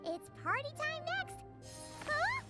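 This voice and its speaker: A woman voicing a small, childlike, excitable fairy companion in a video game, with a squeaky and cheeky voice. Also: slow pace, music in the background, full character voice. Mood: perky, curious.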